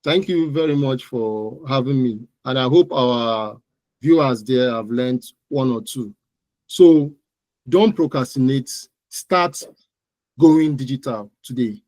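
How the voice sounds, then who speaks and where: A male interview guest with a Nigerian accent and a middle-pitched voice. He answers conversationally, and the sound is dry with hardly any room around it. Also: slow pace, darkish tone, no room sound, low-quality recording. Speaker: interview guest, digital marketing expert.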